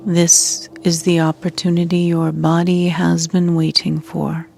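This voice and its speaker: A woman leading a guided meditation as a yoga nidra guide, soothing and hushed, with soft breathy edges that fall near a whisper and long pauses. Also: fairly low pitch, flat delivery, soft background music.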